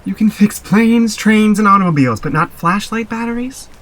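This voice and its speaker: A woman's voice: mid-range voice, animated, moderate pace, close to an everyday voice. A woman playing a cool, guarded young woman in an audio drama, terse and deflecting. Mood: startled, testy. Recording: faint hum and noise.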